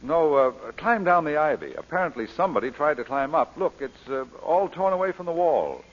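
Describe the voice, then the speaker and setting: An actor playing a lovestruck, persistent lord with urbane, theatrical speech in an old radio drama. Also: male voice, on the high side, sing-song, brisk. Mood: playful. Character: lovestruck, persistent English lord, playful and self-mocking. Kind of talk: 1930s-40s radio drama acting. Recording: dull vintage radio broadcast.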